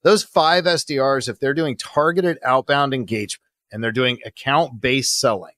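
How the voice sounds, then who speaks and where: A man's voice: medium pitch, moderate pace, fairly bright tone, dry recording, clean studio sound. A man giving explanatory interview answers as a guest consultant, methodically laying out points and checking in with "right?".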